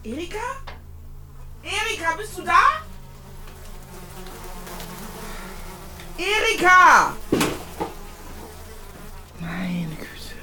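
An actress playing an outspoken younger city woman in a radio play, direct, colloquial and expressive. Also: very high voice, slow pace, noisy background, heavily acted. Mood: calling out. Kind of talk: naturalistic German radio play acting.

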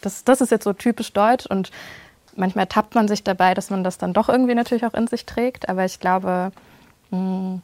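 A young woman from Germany speaks as a podcast guest in a relaxed, loose conversational manner. She hedges often with fillers as she thinks aloud. Her voice is mid-pitched and recorded dry and close. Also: moderate pace, no echo. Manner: casual, loose, frequent hedging fillers. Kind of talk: conversational interview.

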